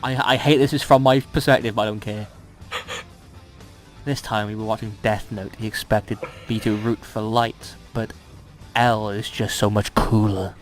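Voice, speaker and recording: A man's voice: fairly low pitch, fairly even intonation, moderate pace, soft background music. A man casually bantering and reading fan fiction aloud in a mock-dramatic, teasing and sweary way.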